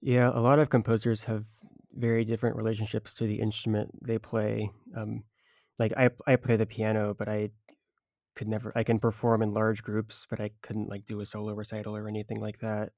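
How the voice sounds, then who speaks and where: A man's voice: lowish voice, completely flat delivery, brisk, vocal fry, darkish tone, dry recording, clean studio sound. A man interviewing a composer, asking long rambling questions full of fillers. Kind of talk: casual interview.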